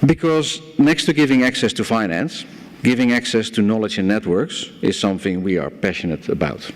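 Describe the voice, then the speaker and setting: A Dutch-accented man in his forties delivers a conference talk, his voice sitting fairly low. He tells stories with gentle, self-mocking humor, and the reverb of a large hall lingers after his words. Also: normal speed. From Netherlands. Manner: storytelling, self-deprecating humor. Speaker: guest speaker. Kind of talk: conference presentation. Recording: hall reverb from a lecture room.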